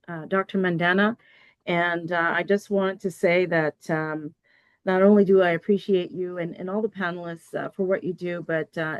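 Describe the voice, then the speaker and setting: A woman's voice: fairly low pitch, no room sound. A woman hosting a virtual panel, introducing and thanking guests in a courteous, formal way. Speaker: host and moderator. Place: virtual summit video call.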